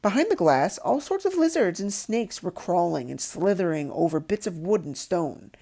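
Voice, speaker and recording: Mellow woman reading a story, very lively intonation. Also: on the low side, normal speed, gravelly. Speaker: audiobook narrator. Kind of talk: expressive storybook read-aloud.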